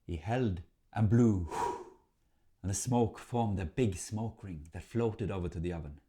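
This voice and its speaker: A man with a fairly low voice tells a folk tale. His narration is theatrical and measured, shaped like spoken storytelling for listeners. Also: mellow.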